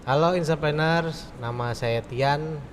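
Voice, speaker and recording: A man's voice: fairly high pitch, takes it slowly, slight background noise. A man in a casual chat, giving short, teasing remarks. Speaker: band guitarist, guest.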